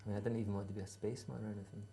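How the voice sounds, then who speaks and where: Gravelly, low Scottish man. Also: flat delivery, very fast, warm tone, slight background noise. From Scotland. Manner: laid-back, hesitant, dry humour, many ums. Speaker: musician guest. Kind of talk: casual interview answers.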